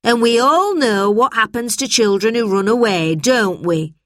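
A woman's voice: fairly high pitch, lively intonation, unhurried, a bit bright, no echo, studio quality, voice pushed up. A woman voicing a strict, menacing schoolmistress in a children's story, stern and commanding. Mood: angry, stern.

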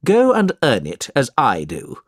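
Narrator playing a slow, stern, cold-hearted uncle. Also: medium pitch, wildly swooping pitch, breathy edge, dry recording, exaggerated voice. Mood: cold, angry. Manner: stern, emphatic, deliberate. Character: wicked, cold, hard-hearted rich uncle.

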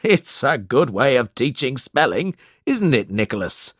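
A man reading aloud puts on a cruel schoolmaster from a storybook, all bluster and threats, his voice raised well above the narration and swinging wildly up and down. The delivery is slow and heavy, and it sounds dry and close, with no room around it. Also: medium pitch, big pitch swings, husky, no room sound. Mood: bullying. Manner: loud, blustering and bullying. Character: cruel, boastful male schoolmaster, middle-aged. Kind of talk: audiobook character reading.

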